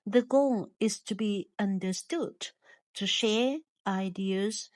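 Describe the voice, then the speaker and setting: A woman giving a prepared English lesson, speaking in a slow, deliberate and measured way. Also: medium pitch, no room sound. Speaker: English teacher.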